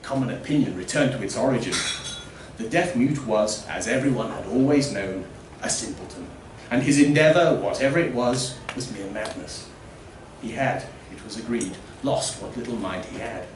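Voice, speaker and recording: A man narrates literary fiction in a mid-pitched voice, placed at some distance in a roomy space. His delivery is theatrical and deliberate, leaning firmly on important words. There is faint noise under the reading. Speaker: story reader. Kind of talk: dramatic literary story narration.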